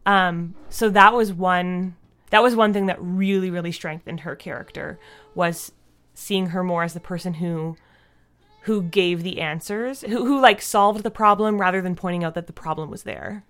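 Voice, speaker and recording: Woman, slightly low voice, podcast guest, casual interview answers, thoughtful, halting, peppered with "like". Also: a bit bright, clean tone. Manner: thoughtful, halting, frequent "like" as a verbal habit.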